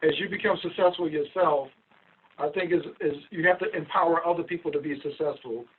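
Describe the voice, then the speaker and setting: A middle-aged man from Philadelphia, a guest recounting his career in a measured, steady way with frequent ums. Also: fairly high pitch, husky. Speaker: guest, investigation company owner. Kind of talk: casual interview over a call. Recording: tinny phone-like line, distant mic.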